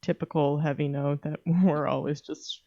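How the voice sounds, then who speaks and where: A woman's voice: low voice, flat delivery, moderate pace, warm tone, dry recording, highs cut off. A woman co-hosting a podcast with casual, wry, blunt banter. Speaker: podcast co-host.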